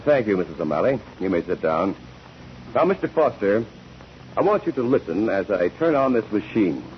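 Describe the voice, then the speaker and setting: A formal, methodical courtroom examiner in an old radio drama. His low voice swings widely in pitch as he probes. The sound is dull and noisy, like a 1940s broadcast. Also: sing-song, normal speed. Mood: brisk, methodical. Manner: crisp, formal questioning.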